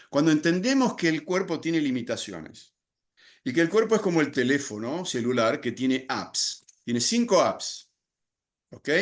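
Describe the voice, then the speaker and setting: Older Argentine man, podcast guest, reflective and explanatory delivery with frequent restarts. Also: a little high. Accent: Argentine Spanish. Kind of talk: podcast interview.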